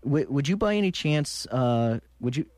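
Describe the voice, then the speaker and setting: A man hosting a call-in radio show from a studio, talking in a halting way with many restarts. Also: mid-range voice, expressive, darkish tone, no echo. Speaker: radio talk show host.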